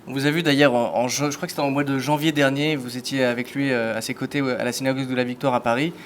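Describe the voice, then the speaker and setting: Male interviewer, mid-pitched voice, conversational and probing, faint ambience. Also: fairly even intonation, fast talker, slight background noise. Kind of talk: interview questions.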